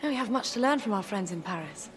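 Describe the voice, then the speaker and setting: A woman's voice: medium pitch, expressive, fast, close to an everyday voice. A woman playing a poised, idealistic noblewoman in a period drama, outspoken and challenging. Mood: earnest, challenging. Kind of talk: naturalistic television period drama acting. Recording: music behind the voice.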